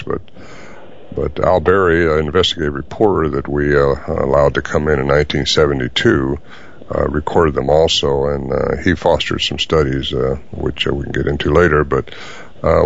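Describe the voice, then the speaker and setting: An older man answering interview questions in a halting way, with many fillers. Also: very deep voice, vocal fry, slight background noise. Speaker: guest. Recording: dull lo-fi audio.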